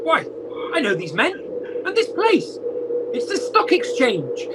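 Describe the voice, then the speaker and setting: A man's voice: very high-pitched, wildly swooping pitch, unhurried, exaggerated voice. A man playing an elderly, humbled miser with formal, theatrical delivery in an amateur radio play. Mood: apprehensive. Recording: noisy amateur video call audio.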